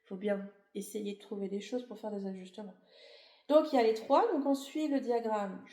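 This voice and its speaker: A woman's voice: medium pitch, steady tone, moderate pace, dark tone, echoey room. A woman with a cold, a little tired, explaining her knitting in a chatty, rambling way, full of fillers and self-corrections. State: has a cold; a bit tired. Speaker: knitting vlogger. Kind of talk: casual show-and-tell explanation.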